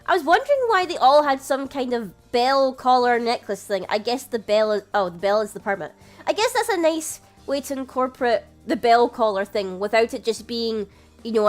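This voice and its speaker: A woman hosting a gaming video, reading a visual novel aloud and adding casual commentary. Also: on the high side.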